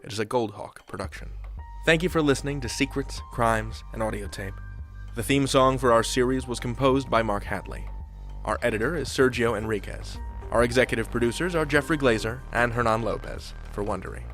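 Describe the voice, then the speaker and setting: A man's voice: medium pitch, a little fast, fairly bright tone, faint music behind. A man hosting a podcast, reading scripted announcements and credits. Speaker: podcast host.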